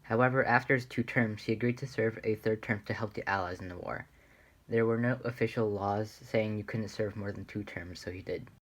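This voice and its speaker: A man with a somewhat low voice reads a scripted news report on history. He delivers it as a radio newsreader. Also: a little fast, a bit bright, poor audio.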